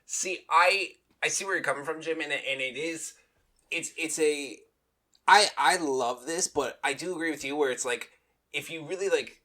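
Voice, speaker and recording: A man's voice: a little high, normal speed, pushed and bright. A man chatting casually as a panelist on a comics review podcast, in a conversational style. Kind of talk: casual chat.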